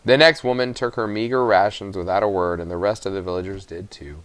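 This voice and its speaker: A man's voice: fairly low pitch, a little fast, clean tone, no echo. A man narrating a children's novel aloud from the text as a storybook reader.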